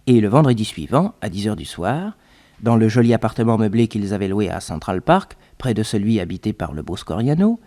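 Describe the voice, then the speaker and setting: A loyal valet telling the story in a French radio drama. His medium-pitched voice moves expressively up and down through measured, descriptive narration. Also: darkish tone. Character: loyal male valet-nephew narrating the detective's exploits.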